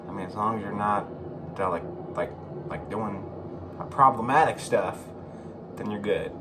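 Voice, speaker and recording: A man giving casual, rambling video commentary as a host, halting and repeating himself, with informal, sweary phrasing. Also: on the low side, background noise. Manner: profane, informal. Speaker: YouTube host.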